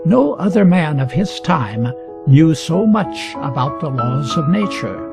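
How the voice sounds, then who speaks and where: A man reading a scripted educational story aloud for English learners with deliberate, emphatic phrasing. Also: on the high side, big pitch swings, gravelly, over music. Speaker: reader.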